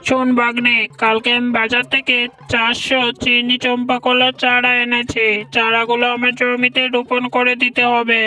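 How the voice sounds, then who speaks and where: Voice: mid-range voice, completely flat delivery, moderate pace, few pauses, bright, forceful tone, close to an everyday voice. A performer voicing a bossy but fair uncle tiger landowner in a cartoon, giving instructions in a woman's-sounding voice. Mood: commanding. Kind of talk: cartoon voice acting. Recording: distant voice with music underneath.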